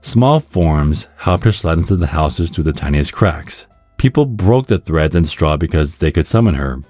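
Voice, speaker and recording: A man with a very low voice narrates a scripted ASMR guide at a measured, wry remove. The recording is very dry, with no room sound, and music plays faintly behind him. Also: expressive, darkish tone, dry recording.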